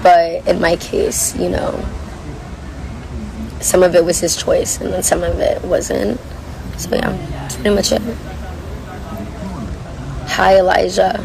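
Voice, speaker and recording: A young woman with a hoarse, worn voice chats casually while reading viewer comments on a livestream from a coffee shop. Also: lowish voice, little pitch movement, takes it slowly, pauses often, noisy background. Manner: chatty, rambling, reads comments aloud. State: hoarse, lost voice. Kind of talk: casual chat.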